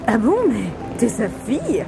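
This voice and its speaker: An actress playing an eager, curious, friendly young newcomer in a French audio drama, excitable and full of naive questions. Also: female voice, a little high, measured pace, vocal fry, heavily acted. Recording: very loud music under the voice.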